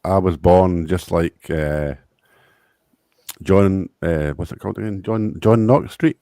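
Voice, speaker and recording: A man's voice: low voice, slow, no room sound, clean studio sound. A man from Glasgow with a Glaswegian accent, laid-back and brief, chatting on a video call. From Glasgow, Scotland. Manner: laid-back, brief replies, laughs quietly. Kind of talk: casual chat on a video call.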